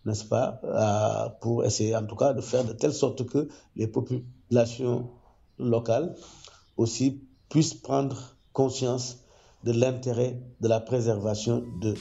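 A man who works as an ecology professor, explaining his research in a measured, careful way with frequent hesitations. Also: mid-range voice, fairly even intonation, gravelly, dark tone, muffled recording. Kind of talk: interview testimony.

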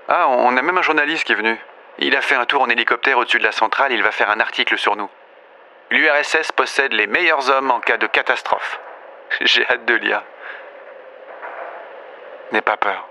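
An actor playing an earnest, reassuring and proud rescue worker in an audio drama, with hesitant pauses. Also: male voice, mid-range voice, vocal fry, pushed and bright, a little hiss or hum, poor audio. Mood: proud, eager. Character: Igor, reassuring, proud male rescue worker at nuclear disaster.

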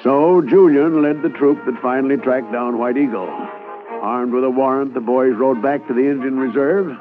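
A man narrating a 1950s radio drama in a lively storytelling way. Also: mid-range voice, normal speed, music in the background. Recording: tinny old radio transcription.

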